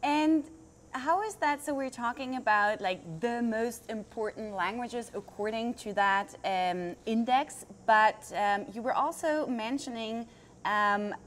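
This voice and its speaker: Woman interviewer with faint background hum. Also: on the high side. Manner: curious, rephrasing questions with fillers. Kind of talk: conversational interview questions.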